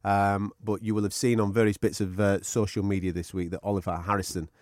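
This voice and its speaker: Lower-voiced podcast host. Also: male voice, little pitch movement, brisk, darkish tone, no echo, studio quality. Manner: chatty, swears freely, fillers like uh. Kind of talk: casual chat.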